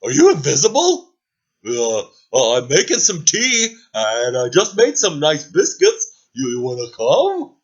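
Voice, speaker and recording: A man voicing a big, friendly, lonely male dragon in a bedtime story, loud and chatty. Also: on the high side, huge pitch swings, measured pace, heavily acted. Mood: cheerful. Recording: dull lo-fi recording.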